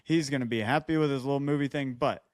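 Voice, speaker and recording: A man with a mid-pitched voice chats casually as a podcast co-host. He is jokey and blunt, like someone handing out advice. The sound is dry. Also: fast talker, no room sound. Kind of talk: casual chat.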